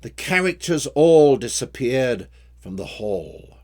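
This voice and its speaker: Male poet for story-poem reading: theatrical, unhurried delivery with big melodic swings in pitch. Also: big pitch swings, a little mellow. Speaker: poet reading own poems. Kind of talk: expressive recitation of rhyming story poems.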